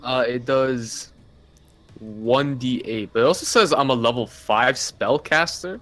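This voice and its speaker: A man's voice: medium pitch, a little slow, a bit bright, faint music behind. A man chatting casually as a player in a tabletop roleplaying game.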